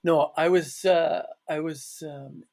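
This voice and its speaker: A man, a guest on a podcast, talking on a call from his office in a halting way, full of restarts. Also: fairly high pitch, steady tone, a little mellow. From Canada. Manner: halting, with restarts and "you know, like". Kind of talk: casual interview on a call.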